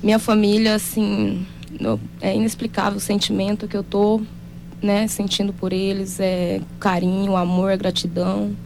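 A woman from Brazil giving a soft-spoken, halting and heartfelt personal testimony. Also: medium pitch, level tone, gravelly, a little hiss or hum.

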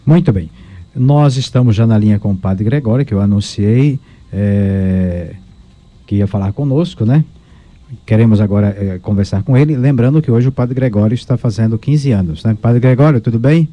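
A man's voice: lowish voice, moderate pace, warm tone, no room sound. A man with a Brazilian accent, a priest hosting a radio interview, guiding the guest with friendly prompts and tag questions. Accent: Brazilian Portuguese.

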